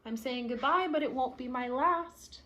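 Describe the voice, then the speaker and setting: A woman reading a children's picture book aloud expressively, with soft explanatory asides for young listeners. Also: sits high, moderate pace. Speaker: read-aloud storyteller.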